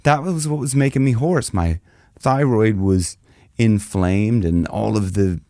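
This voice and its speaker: A man's voice: fairly low pitch, unhurried, warm tone, no room sound, clean studio sound. A man who is a rock singer and vocal coach, talking casually to the camera with a loose, rambling delivery full of restarts. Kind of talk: casual direct-to-camera advice.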